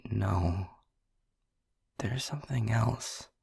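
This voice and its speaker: A man voices an anxious young lab technician in an audio roleplay, stammering through hushed, breathy whispers. His voice is gravelly and very husky, recorded close and dry with no room sound. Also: on the low side, extremely slow, no room sound, heavily acted. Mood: urgent whisper.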